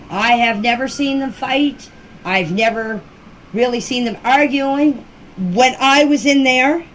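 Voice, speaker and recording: A woman giving a defensive, insistent personal account in answer to questions, speaking emphatically in an informal voice message. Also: a little high. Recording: noisy amateur voice-clip recording.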